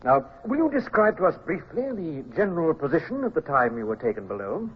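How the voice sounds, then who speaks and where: An actor playing a formal, officious British court examiner, questioning in a radio drama. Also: male voice, fairly high pitch, very animated, brisk, slightly rough. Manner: officious, interrupting. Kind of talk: 1950s radio drama acting. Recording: tinny old radio recording.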